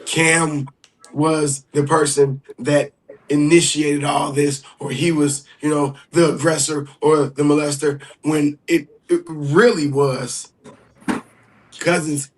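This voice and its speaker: A man speaking as a livestream guest, explaining carefully and haltingly, often clearing his throat. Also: a little high, steady tone, low-quality recording.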